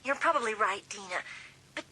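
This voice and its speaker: A woman playing a sassy, gossipy high school girl in a dramatised audiobook, expressive and teasing, with slightly husky edges. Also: a little fast, heavily acted, poor audio.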